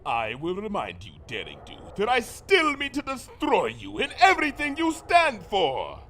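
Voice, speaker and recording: Villain creature: high male voice, wildly swooping delivery, pompous, suave, menacing, formal storybook grandeur. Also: huge pitch swings, sharp tone, a little hiss or hum, heavily acted. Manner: formal, theatrical, deliberate. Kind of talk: dramatic fan fiction reading.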